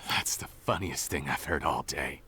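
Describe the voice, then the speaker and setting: A man's voice: a bass voice, moderate pace, pushed and bright, husky, heavily acted. An actor playing a bitter, resentful male potion maker in a game, speaking in a sneering manner. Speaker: game character. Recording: game audio with music behind.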